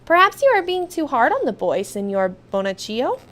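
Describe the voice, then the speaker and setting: Woman playing gentle male advisor, storybook family friend, mild, reassuring, unhurried, higher voice. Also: wildly swooping pitch, full character voice.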